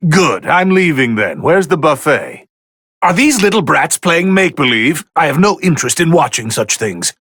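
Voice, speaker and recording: Imperious, bored video game deity commentator: theatrical and easily irked, forceful gravelly tone, pitched a bit high, very dry recording. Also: male voice, big pitch swings, moderate pace, dry recording, full character voice. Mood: bored disdain. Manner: pompous, theatrical, drawling disdain. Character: haughty, bored god of destruction, male, easily irritated. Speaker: fighting game commentator character.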